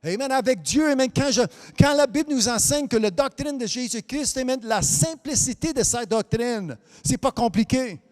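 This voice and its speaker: A man preaching a sermon in a high voice, his first language English. He fills his delivery with amen and hallelujah, breaks off to restart phrases, and drifts into a sing-song lilt. His voice carries a long reverb tail like a church hall. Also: lively intonation, moderate pace, hardly any pauses, darkish tone.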